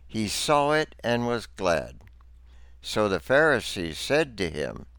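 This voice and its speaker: A man with a low, resonant voice narrates religious teaching from a script. His delivery is slow and deliberate, with a formal, didactic manner. The sound is clean and smooth. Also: a bit bright, no echo.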